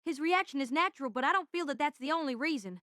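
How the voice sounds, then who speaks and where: Woman doing a earnest student inventor kid for audio drama, super high n bright, kinda halting and thoughtful. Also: animated, no echo, studio quality. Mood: earnest, troubled.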